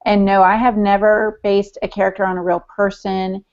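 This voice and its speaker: A woman's voice: mid-range voice, flat delivery, moderate pace, darkish tone. A woman co-hosting a podcast, talking casually and conversationally about herself. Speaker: podcast co-host. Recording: dull lo-fi podcast audio.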